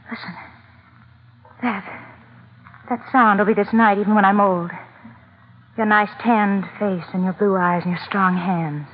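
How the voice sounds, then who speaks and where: Actress as a seductive femme fatale, tinny radio. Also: female voice, mid-range voice, lightly acted. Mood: dreamy, amorous. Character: seductive, vain femme fatale, needy and evasive. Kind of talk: old-time radio noir acting. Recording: noisy tinny vintage radio recording.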